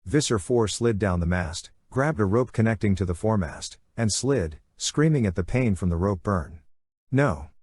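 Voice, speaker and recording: A man's voice: on the low side, lively intonation, moderate pace, hardly any pauses, darkish tone, husky, no room sound, studio quality. A man reading first-person fiction as a dramatic, intense audiobook narration.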